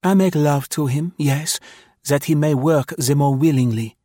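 Passionate young female spy voiced by a man, raised pitch, louder, dry close sound. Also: measured pace, dry recording.

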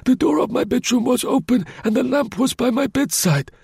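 A man voicing a very old, frail, meek male concierge with a head cold and a husky, croaking voice. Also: extremely high pitch, expressive, normal speed, a little mellow, dry recording, studio quality, pitched above the performer's own voice, full character voice. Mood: meek protesting. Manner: meek, pleading.

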